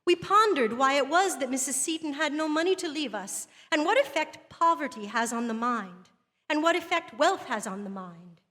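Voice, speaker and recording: A woman playing an earnest woman writer who delivers a literary lecture in measured, rhetorical phrases. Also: high voice, expressive, a bit bright. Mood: reflective, measured. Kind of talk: dramatic reading of a literary lecture. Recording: long hall reverb.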